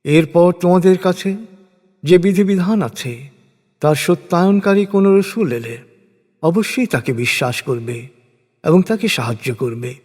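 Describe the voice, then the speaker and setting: A man reading a scripture translation as a solemn, formal scripted narration, with measured and deliberate phrasing. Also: a little high, darkish tone. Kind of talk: solemn scripted religious recitation in prose. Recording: long hall-like reverb.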